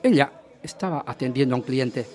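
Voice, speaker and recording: A man's voice: mid-range voice, brisk, warm tone, close to an everyday voice. An actor playing a jealous, agitated husband in a radio play, insistent and dramatic as he narrates and questions. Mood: brooding narration. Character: jealous, agitated husband recounting his hunt for a rumour. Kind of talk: radio theatre narration and dialogue.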